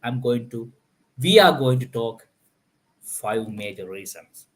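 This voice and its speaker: Man, host of a live panel, middle-pitched voice, talks in halting bursts packed with "like, you know". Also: animated. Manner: filler-heavy, repeats "like, you know". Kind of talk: live stream panel chat.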